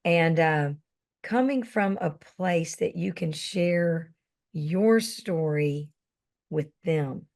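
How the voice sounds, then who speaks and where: A woman's voice: lowish voice, very slow. A woman hosting an interview podcast, thanking her guest earnestly and asking him to share his story. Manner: earnest, warmly appreciative phrasing. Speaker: podcast host. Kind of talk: interview questions.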